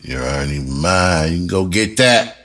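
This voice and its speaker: A man talking casually as a vlog host and musician, telling stories in a halting way with pauses to think. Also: low voice, animated, a bit bright. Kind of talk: casual storytelling to camera.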